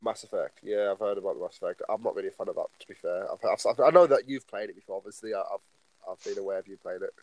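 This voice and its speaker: A man's voice: low voice, fast talker, darkish tone, no room sound, poor audio. A nineteen-year-old man co-hosting a casual podcast, rambling along and filling his talk with "like" and "obviously". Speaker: podcast co-host.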